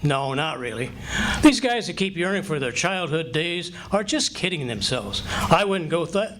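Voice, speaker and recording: Man acting grumbling American husband, folksy, lively swoops, radio comedy, slightly noisy stage pickup. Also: on the high side, normal speed. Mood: mild grumbling.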